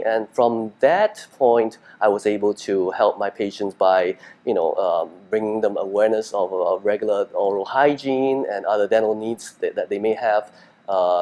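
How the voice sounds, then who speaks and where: A man from Singapore, middle-aged and a dentist, gives interview answers in a fairly low voice. His speech is halting and thick with fillers and restarts. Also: a little mellow.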